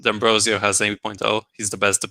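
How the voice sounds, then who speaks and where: A man's voice: lowish voice, flat delivery, a little fast, gravelly, pushed and bright. A man joining a podcast in casual chat, dropping dry wisecracks. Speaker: podcast guest.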